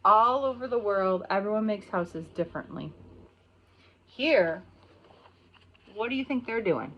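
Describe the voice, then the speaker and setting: Slow-paced American woman teaching little kids. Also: mid-range voice, lively intonation, highs cut off, a little hiss or hum. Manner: simple, explanatory, pausing on key words. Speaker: pre-kindergarten teacher. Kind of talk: read-aloud of a picture book to young children, with explanations.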